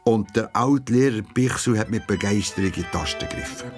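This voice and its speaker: A man narrates a children's story in a low-pitched voice. He has a Swiss German accent and delivers scripted storytelling, with music clearly present in the recording. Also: a little fast.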